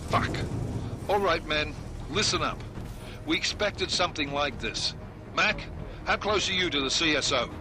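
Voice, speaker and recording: A man playing an urgent, brisk and commanding field team leader in a video game. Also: fairly high pitch, animated. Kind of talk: video game cutscene acting. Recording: clear vehicle-like noise behind.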